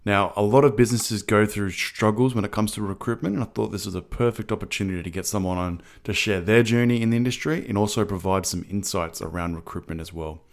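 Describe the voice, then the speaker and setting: Deep-ish male podcast host. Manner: measured, partly scripted introductions. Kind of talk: podcast interview hosting.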